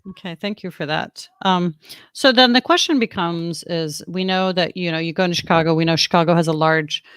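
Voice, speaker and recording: A woman's voice: on the low side, brisk, a bit bright, clean tone, no echo, clean studio sound. A woman hosting an interview, asking long framing questions and backchanneling often. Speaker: host.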